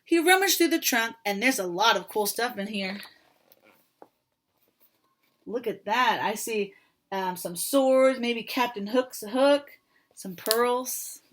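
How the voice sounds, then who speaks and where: A woman with a high voice reads a children's story aloud. She is expressive and breaks off to chat with her young listeners and ask them questions. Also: takes it slowly, pauses often, a little hiss or hum.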